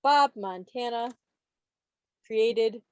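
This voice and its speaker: A woman's voice: on the high side, drawn-out words, clear, resonant tone, no room sound. A woman hosting a trivia quiz on a video call in a playful, teasing way, with mock-dramatic asides. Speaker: quiz host at a club meeting.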